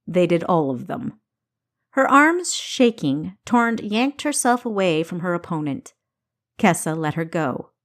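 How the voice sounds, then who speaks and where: A woman reading scripted story narration for an audiobook, with a dramatic, deliberate delivery and careful enunciation. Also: lowish voice.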